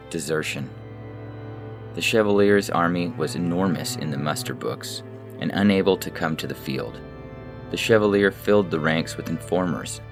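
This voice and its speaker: Male story narrator, extremely deep pitch, scripted literary reading, clear musical backing.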